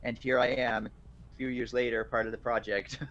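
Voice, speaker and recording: A man chatting casually as a podcast guest, friendly and quick to laugh. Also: medium pitch, flat delivery, a bit bright, muffled recording. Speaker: guest voice actor.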